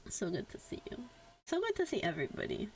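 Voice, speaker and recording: A young adult woman chatting casually on a livestream, rambling in an animated way and swearing freely. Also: mid-range voice, fast talker, slight background noise, poor audio. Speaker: VTuber live streamer. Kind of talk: casual chat on a game livestream.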